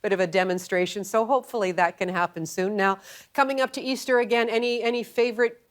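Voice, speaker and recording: A woman's voice: mid-range voice, fairly even intonation, quick pace. A woman hosting a magazine show, chatting with a guest and presenting events. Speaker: TV show host.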